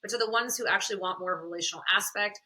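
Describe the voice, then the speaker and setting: A woman guest on a podcast, chatting candidly with a smoky voice, animated and quick to laugh. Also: mid-range voice. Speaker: podcast guest, content creator and confidence coach. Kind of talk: candid conversational interview.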